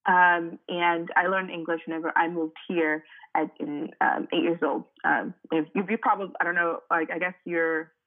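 A woman's voice: on the low side, steady tone, moderate pace. A young woman from Cameroon, a native French speaker, talking casually as an interview guest, with many fillers. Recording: tinny phone-like line.

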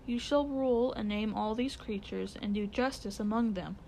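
A woman voicing a wise, regal male lion who speaks in solemn, formal, measured pronouncements for a storybook. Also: medium pitch, vocal fry, darkish tone, slight background noise, natural voice, rough recording. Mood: solemn, grave.

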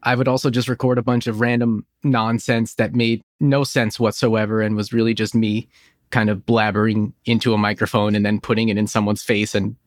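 A man's voice: on the low side, level tone, fairly quick, no room sound. Dry-sounding man chatting nostalgically. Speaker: podcast host. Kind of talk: nostalgic casual chat. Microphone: close studio microphone in home office.

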